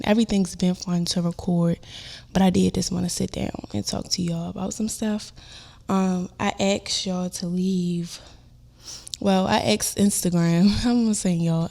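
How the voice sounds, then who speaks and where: Stuffy-nosed young woman, relaxed podcast chat. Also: on the low side. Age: young adult. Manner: chatty, warm, lots of fillers like "um" and "like". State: stuffy nose from a cold. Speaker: podcast host. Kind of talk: casual solo podcast chat. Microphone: on-camera podcast setup.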